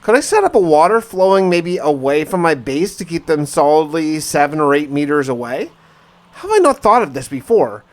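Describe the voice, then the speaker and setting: Higher-pitched man narrating a survival diary. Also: big pitch swings, normal speed. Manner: conversational, musing aloud with rhetorical questions. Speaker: gaming video narrator and player.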